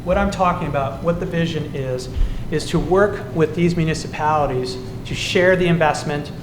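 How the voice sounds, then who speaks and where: A man running for city council, giving a measured forum answer. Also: on the high side. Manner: measured, policy-focused, slight restarts. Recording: echoey hall with noise.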